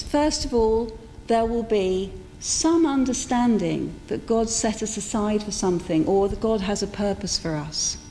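A middle-aged woman giving an informal, reflective sermon in a conversational storytelling style, with pauses for emphasis. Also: medium pitch, darkish tone. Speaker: preacher. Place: church interior, speaking to a congregation. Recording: echoey church hall with background noise.

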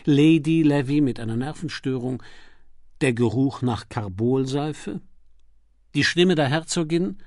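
A man reading a crime novel as an audiobook narrator, with a dramatic, deliberate delivery and emphatic pauses. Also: on the high side, darkish tone, no room sound, studio quality.

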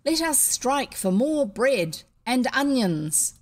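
Woman acting a loud, fiery male workers' orator. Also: a little high, animated, unhurried. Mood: fervent, urging. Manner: declamatory, rousing, emphatic. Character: tall adult male worker, fiery rabble-rousing crowd orator. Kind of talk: storybook character voice.

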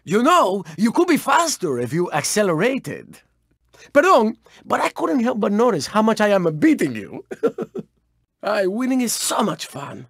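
A man's voice: high voice, huge pitch swings, unhurried, vocal fry, dry recording, clean studio sound, exaggerated voice. A man voicing a cocky, flamboyant race car in a video game, with a Spanish accent and a boastful, dramatic manner. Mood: taunting. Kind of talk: theatrical video game character barks.

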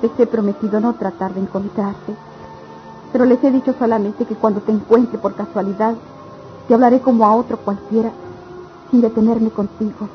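An actress playing a dutiful, sorrowful young country sweetheart in a radionovela, speaking solemnly and earnestly. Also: female voice, on the high side, steady tone, normal speed, soft background music, natural voice. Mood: earnest resolve. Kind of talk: radionovela acting. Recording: dull, noisy old radio drama recording.

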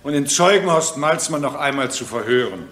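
An actor playing a stern, formal presiding judge in a radio crime drama, speaking with authority. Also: male voice, medium pitch, lively intonation, normal speed, fairly bright tone, close to an everyday voice. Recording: roomy, echoing courtroom sound.

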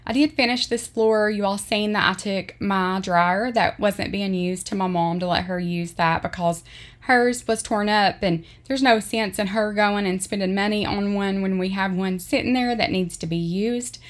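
A woman's voice: mid-range voice, moderate pace. Middle-aged Southern woman, earnest devotional voice-over for a home vlog. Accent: Southern American. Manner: earnest, heartfelt, plain-spoken. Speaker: vlogger. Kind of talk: voice-over narration and devotional reading.

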